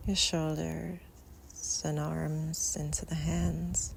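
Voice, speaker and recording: A woman leads a guided meditation in a low, gravelly voice, very slowly and softly. Long pauses separate her phrases, which often fade into breathiness. She is recorded outdoors, with faint natural ambience behind her. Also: level tone, a little hiss or hum. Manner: soft, unhurried, with long pauses. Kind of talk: guided Yoga Nidra meditation.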